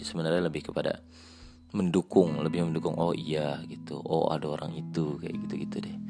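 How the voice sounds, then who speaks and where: A man's voice: extremely low pitch, gravelly. A man, telling a personal story in a reflective, hesitant way with many restarts. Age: late 20s. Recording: guitar music playing behind.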